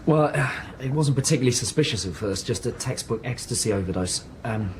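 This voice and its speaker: With faint noise behind him, an actor plays a male emergency doctor in a radio drama. He has a mid-pitched voice and speaks in earnest, clinical terms, like someone under pressure on the wards. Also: animated, a little fast, a little mellow, lightly acted, low-quality recording. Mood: measured, concerned. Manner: clinical, explanatory. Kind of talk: naturalistic radio drama acting.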